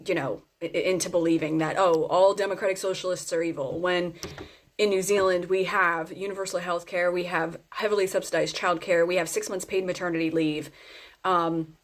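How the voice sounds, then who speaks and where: A woman giving a casual opinion vlog in an earnest, explanatory way, with frequent ums and restarts. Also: fairly low pitch. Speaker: political commentator.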